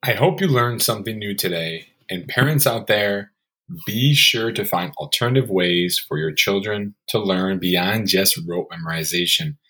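A man hosting an educational podcast, speaking in a friendly, measured, scripted way. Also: fairly low pitch, sharp tone. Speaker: podcast host.

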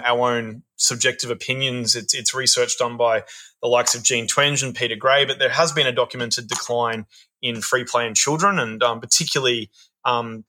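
Bright-voiced man, earnest. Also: medium pitch, poor audio. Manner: thoughtful, earnest, repeats words as he thinks. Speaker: play worker. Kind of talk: podcast interview answers.

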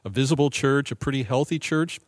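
A man's voice: medium pitch, brisk, no echo. A man who is a pastor hosting an interview, asking questions with frequent fillers. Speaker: pastor and host. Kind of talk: interview host.

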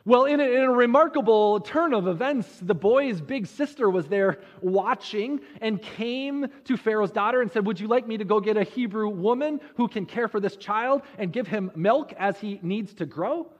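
A man preaching a sermon, telling a Bible story conversationally with dramatic pauses and rhetorical questions. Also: sits high. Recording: muffled audio with long hall reverb.

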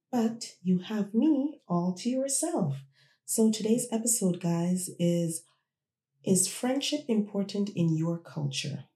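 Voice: mid-range voice, vocal fry, dark tone, reverberant. A podcast host born in Jamaica and raised in Toronto, talking casually and reflectively while speaking straight to listeners. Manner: reflective, conversational, addresses listeners directly. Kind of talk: casual solo podcast talk.

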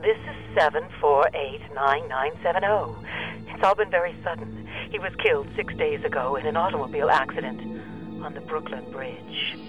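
A formal, aloof woman on a household telephone in a vintage radio play. The voice is thin and tinny through the line, measured in delivery, with faint music underneath. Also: low voice. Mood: grave, detached. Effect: telephone filter. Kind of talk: 1940s radio drama acting.

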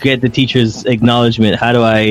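A man hosting a podcast interview, asking casual, halting questions with many fillers. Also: medium pitch, little pitch movement, soft background music, rough recording. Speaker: podcast host. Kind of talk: interview conversation.

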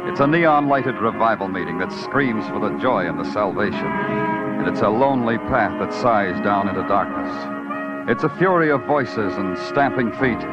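An actor playing a wry, world-weary New York police detective in 1940s radio noir, brooding and poetic. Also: male voice, lowish voice, lightly acted. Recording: dull old radio recording with loud music.

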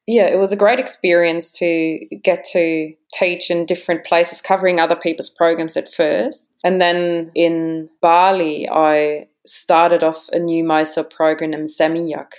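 A woman in her forties with a German accent, telling personal stories conversationally as an interview guest. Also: low-pitched. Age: 40s. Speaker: interview guest, yoga teacher.

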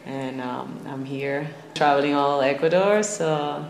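A woman's voice: extremely low pitch, measured pace. Young woman in an echoey room. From Lima, Peru. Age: young adult. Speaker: podcast guest, traveler. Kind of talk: casual personal storytelling. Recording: roomy echo with faint ambient noise.

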